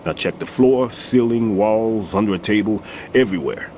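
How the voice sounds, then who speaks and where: An actor playing an aging, retired bomb disposal expert in a video game, a weary mentor who explains things in a measured, authoritative way. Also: male voice, fairly low pitch, warm tone, slight background noise, lightly acted. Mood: instructive. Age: older.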